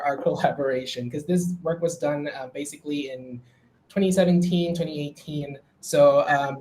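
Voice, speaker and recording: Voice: medium pitch, a little mellow, poor audio. Hesitant speaker. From Manila, Philippines. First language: Philippine Hybrid Hokkien. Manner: hesitant, many filler sounds. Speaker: academic presenter. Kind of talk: academic talk over slides.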